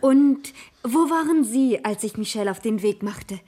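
High, husky female detective. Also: lively intonation, dark tone. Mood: probing, polite. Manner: polite, sly, quick to retort. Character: plucky, cultured female private detective's partner. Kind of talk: 1980s German radio crime drama acting.

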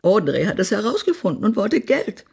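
Husky, acid society gossip. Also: female voice, mid-range voice, very animated, brisk, darkish tone, full character voice. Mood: scornful, smug. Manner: blunt, acid, imperious, swearing. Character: spiteful small-town society gossip, haughty woman of old family. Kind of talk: German radio play acting.

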